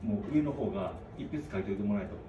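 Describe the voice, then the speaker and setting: A male police officer, hesitantly explaining in fast, mumbled bursts at a doorway outdoors, caught on a phone from a distance with birds behind him. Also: lowish voice, animated, echoey room, highs cut off, background noise. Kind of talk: polite explanation. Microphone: phone held by the other speaker.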